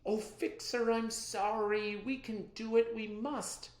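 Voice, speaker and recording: A storybook reader voicing a feisty, excitable young girl inventor who exclaims emphatically and is easily exasperated. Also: medium pitch, takes it slowly, pitched above the performer's own voice, close to an everyday voice. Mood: apologetic, determined. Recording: roomy, echoey sound.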